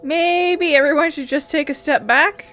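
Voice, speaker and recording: A woman's voice: sits high, measured pace, a bit bright, soft background music. A woman casually talking and reading dialogue aloud during a game playthrough.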